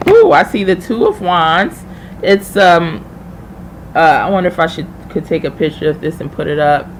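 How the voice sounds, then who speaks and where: A woman's voice: lowish voice, expressive, moderate pace, a little hiss or hum, poor audio. A woman hosting a live tarot reading, chatting informally and familiarly with viewers as she thinks aloud. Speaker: tarot reader hosting a livestream.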